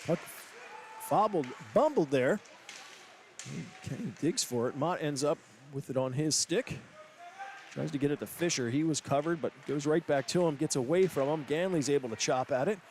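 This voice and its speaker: A man calling hockey play-by-play, with quick, excited calls that rise to shouts. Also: on the high side, slight background noise. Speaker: play-by-play announcer. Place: ice rink broadcast booth. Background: loud arena music.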